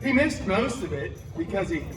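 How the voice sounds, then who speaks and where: An actor playing a wisecracking, brash costumed superhero, telling a comic story live to a crowd with sarcastic asides. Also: male voice, high voice, sing-song, normal speed, a bit bright, distant mic. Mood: playful storytelling. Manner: sarcastic asides, exaggerated delivery. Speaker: theme park character performer telling stories to guests. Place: outdoor theme park show area. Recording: echoey PA outdoors, music and crowd.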